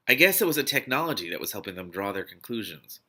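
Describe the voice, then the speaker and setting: A man reading a novel aloud expressively as host, animated and given to breaking off with excited reactions. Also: on the low side, fast, husky. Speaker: host of a read-aloud book series.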